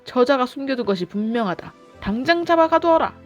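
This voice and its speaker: Woman as a loud, bullying soldier henchman. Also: high voice, lively intonation, a little fast, muffled recording, soft background music, pitched above the performer's own voice. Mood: angry threatening. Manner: barking, threatening orders.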